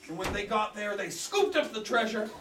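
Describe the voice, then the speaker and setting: Animated woman storyteller in an echoey room. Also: medium pitch. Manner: theatrical, drawn-out words and repeated phrases. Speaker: storyteller for a children's puppet show. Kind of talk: animated live storytelling for small children. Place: echoey room at a live children's puppet show. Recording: echoey room, far from mic, audience noise. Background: audience of a live show.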